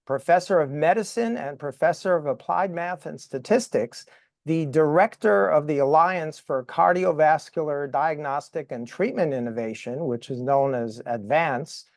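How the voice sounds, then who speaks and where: Male podcast host, slightly higher voice, measured delivery with fillers, dry close sound. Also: no room sound. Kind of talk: interview, reading a guest introduction.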